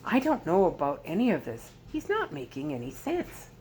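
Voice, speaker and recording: A woman's voice: medium pitch, wildly swooping pitch, normal speed, a little mellow, slight background noise. Frank, heartfelt young woman Lila, read by a woman. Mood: doubtful.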